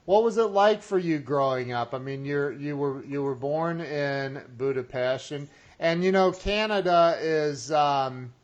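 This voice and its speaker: A man's voice: fairly high pitch, measured pace, few pauses, muffled recording. A man hosting a podcast, talking casually with many restarts and chuckling at his own jokes. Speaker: podcast host. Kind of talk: casual interview chat.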